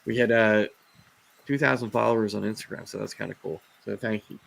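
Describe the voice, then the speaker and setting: A man's voice: on the low side, steady tone, a little fast, gravelly, a bit bright, low-quality recording. A man hosting a casual livestream chat about reptiles, rambling with lots of fillers and restarts as he loses his voice. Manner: rambling, rant-prone, many fillers and restarts. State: losing his voice. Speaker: host of a reptile live show.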